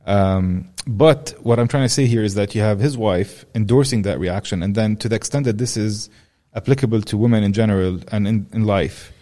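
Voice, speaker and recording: A man's voice: lowish voice, brisk, hardly any pauses, mellow. A young man from Jordan hosting a podcast interview, talking in a casual, rambling way and swearing freely. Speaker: podcast host.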